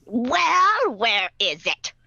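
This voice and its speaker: A woman's voice: a little high, big pitch swings, very slow, creaky, sharp tone, dry recording, lo-fi sound, full character voice. A woman playing a menacing, impatient pirate gang leader who barks theatrical commands in a radio play. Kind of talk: live radio play acting.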